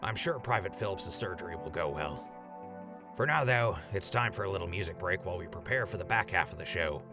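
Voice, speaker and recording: A man's voice: deep voice, animated, a little fast, over music. An actor playing an earnest, apologetic male radio DJ in a post-apocalyptic audio drama. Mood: smooth hosting.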